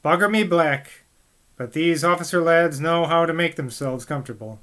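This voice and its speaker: Man reading as older rustic mayor, West Country accent, doting, bright and raised. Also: on the high side, normal speed, lightly acted. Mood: fond. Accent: rustic West Country English put on. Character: older male small-town mayor, fond and stubborn.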